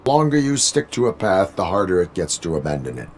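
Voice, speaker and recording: A stoic cyborg policeman in a video game. The voice is very low, and every line comes out clipped, formal and deadpan. He is dutiful and takes things literally. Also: fast talker, slight background noise. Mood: flat, businesslike. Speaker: police officer. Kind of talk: video game dialogue acting.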